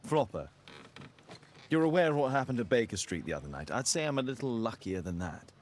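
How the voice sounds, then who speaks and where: An actor playing a shrewd, self-assured Victorian detective in a video game, British accent, precise, probing and dryly superior. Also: male voice, mid-range voice, sing-song, brisk. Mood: wry, guarded. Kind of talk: dramatic video game acting.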